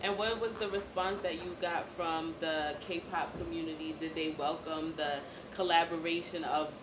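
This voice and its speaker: A woman's voice: low voice, fairly even intonation, moderate pace. A woman with an American accent hosting a casual interview in an upbeat, slangy way. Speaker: interview host. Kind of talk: casual hip-hop interview. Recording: echoey room, clear background noise.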